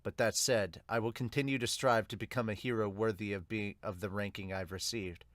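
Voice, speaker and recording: A man's voice: fairly low pitch, fairly even intonation, fairly quick, fairly bright tone, no room sound, studio quality, voice pushed down, lightly acted. A man voicing a humble, solemn superhero giving an earnest, subdued speech in an amateur manga dub.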